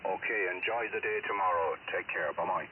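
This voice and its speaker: A man's voice: low-pitched, fairly quick, distant mic. A man chatting casually over amateur radio, rambling and hesitant, a little self-deprecating. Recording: muffled narrowband shortwave radio with loud hiss. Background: radio hiss.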